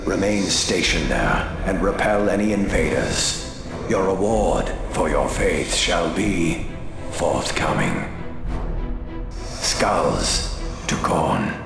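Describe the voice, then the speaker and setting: A silky, menacing traitor lord gloats over his victims in a game cutscene. His voice is very deep and gravelly, drawn out slowly. It rings through a long echoing hall over loud music. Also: sing-song, exaggerated voice. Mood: gloating. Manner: slow, mocking, theatrical menace.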